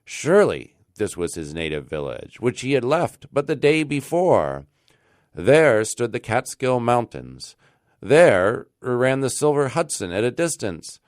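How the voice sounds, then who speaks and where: An American man reads a classic tale as a storyteller, in an expressive, deliberate manner with dramatic emphasis. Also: mid-range voice, no echo, studio quality. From United States. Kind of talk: scripted narration of a classic tale.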